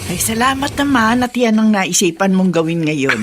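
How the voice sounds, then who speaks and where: Casting: woman to play talkative old grandma for radio drama, caring yet scolding, very lively pitch, noisy track. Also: normal speed, close to an everyday voice. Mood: fussing, relieved. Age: older. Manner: chatty, exclamatory, scolding. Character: talkative elderly Filipina grandmother, caring but resentful. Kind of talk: Tagalog radio drama acting. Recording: clear background noise, clicks.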